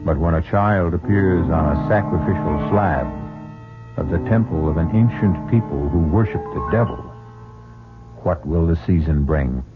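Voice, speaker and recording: A man's voice: sits low, moderate pace. A man hosting an old-time radio mystery with dramatic, ominous, rhetorical scripted narration. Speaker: host and narrator. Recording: phone-like old radio recording. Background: music.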